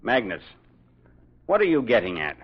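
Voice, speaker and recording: An actor playing a confident, businesslike police inspector in a radio drama, questioning with easy authority. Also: male voice, fairly low pitch, huge pitch swings, normal speed, husky, heavily acted. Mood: authoritative. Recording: dull old radio recording.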